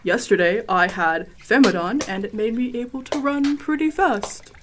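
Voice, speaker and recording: A woman's voice: fairly high pitch, normal speed, background noise, natural voice. A woman playing an earnest, welcoming alien guide who explains her people's ways in a comedic radio drama. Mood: matter-of-fact. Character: welcoming, easily tempted female alien guide of a water-cult people.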